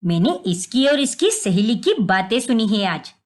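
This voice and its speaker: महिला की आवाज़ में कड़क, डाँटने वाली बुज़ुर्ग सास. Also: female voice, mid-range voice, expressive, normal speed, pitched below the performer's own voice, close to an everyday voice. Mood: angry, accusing. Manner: bossy, sharp-tongued, scolding. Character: scolding older mother-in-law, stern and suspicious.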